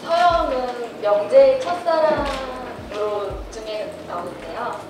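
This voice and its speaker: A woman in her twenties at a press event, speaking thoughtfully and softly into a handheld mic. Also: on the high side, animated, slow, background noise. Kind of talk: press interview answers. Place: indoor press conference hall. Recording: echoey hall from far off.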